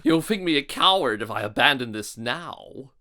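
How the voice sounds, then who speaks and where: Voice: medium pitch, animated, moderate pace, a crowd in the background. A video reviewer giving exasperated, mocking scripted commentary on game cutscenes.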